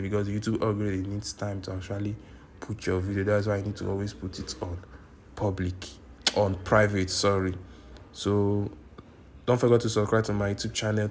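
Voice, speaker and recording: Flat-voiced man giving a tutorial. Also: lowish voice, slight background noise. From Nigeria. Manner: repetitive, insistent, self-correcting. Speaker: YouTube tutorial creator. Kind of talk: screen-recorded tutorial. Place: indoors at a computer.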